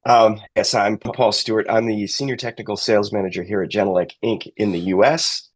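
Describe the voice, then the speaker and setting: A man's voice: fairly low pitch, fairly even intonation, a little fast. A middle-aged man, giving conversational interview answers as a technical sales manager.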